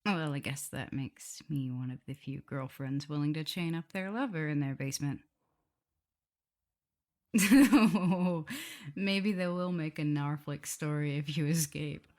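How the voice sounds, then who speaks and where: Girl doing a doting vampire girlfriend for asmr roleplay, low breathy voice, slow n soft, teases u gently. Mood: teasing, affectionate. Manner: soft, unhurried, tender, gently teasing. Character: affectionate, teasing female vampire mistress and professor, doting lover. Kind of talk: intimate ASMR roleplay addressed to the listener.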